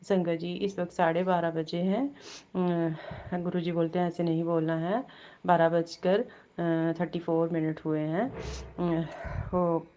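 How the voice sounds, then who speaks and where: A woman with a sore throat sharing a devotional testimony, halting with many fillers and repeated blessings. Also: on the low side, little pitch movement, dark tone, highs cut off, slight background noise. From Jammu.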